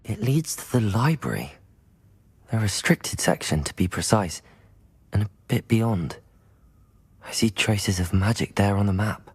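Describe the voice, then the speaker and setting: An actor playing an eager young student wizard with a polite, earnest manner. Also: male voice, lowish voice, lively intonation, measured pace, long pauses, slightly rough, heavily acted.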